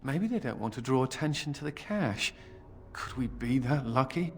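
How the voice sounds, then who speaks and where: An actor playing a flippant, rebellious young mage in a fantasy game, with a wry, informal and expressive delivery. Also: male voice, medium pitch, normal speed, a little hiss or hum, lightly acted. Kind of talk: fantasy game dialogue acting.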